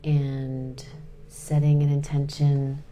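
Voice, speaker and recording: A woman leading a guided meditation in a soothing, unhurried way, pausing between short phrases. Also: deep voice, completely flat delivery, dark tone, soft background music.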